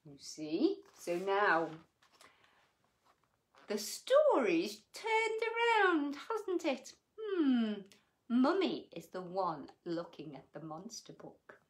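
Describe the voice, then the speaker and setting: Expressive, slow-paced woman storyteller. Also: mid-range voice. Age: middle-aged. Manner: expressive, sing-song, with tag questions. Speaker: storyteller reading children's books. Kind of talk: bedtime picture-book reading with chatty asides to children.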